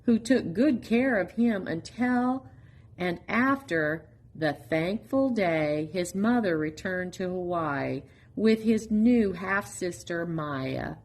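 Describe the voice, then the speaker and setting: A woman reading a children's picture book aloud as a storytime reader, in an expressive, deliberate manner with pauses. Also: fairly low pitch.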